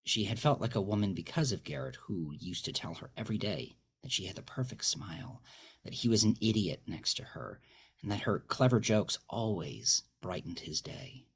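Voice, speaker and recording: A man's voice: fairly low pitch, animated, normal speed, a little hiss or hum. A man narrating scripted dramatic fiction as a storyteller. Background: plucked guitar music.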